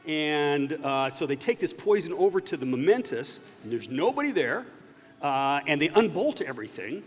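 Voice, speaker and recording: A man telling a story off the cuff as a panelist at a live fan panel, speaking in a halting, thoughtful way. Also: on the high side, sing-song. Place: large convention stage. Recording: echoey hall PA with faint noise.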